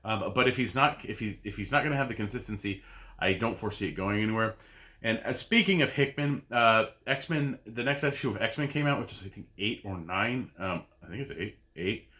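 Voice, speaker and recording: Casting: man in his thirties, comic podcast host, bright and forward tone, rambling, chatty delivery full of ums and self-corrections. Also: lowish voice, expressive, a little fast. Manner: rambling and chatty, with many fillers and restarts. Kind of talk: casual review of a weekly comic pull list. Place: home basement.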